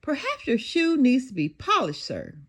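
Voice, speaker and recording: A woman voicing a polite, helpful female pterodactyl police officer in a storybook, deferential and measured. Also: high-pitched, wildly swooping pitch, fairly bright tone, slightly rough, voice pushed up, exaggerated voice. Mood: patient, reasoning.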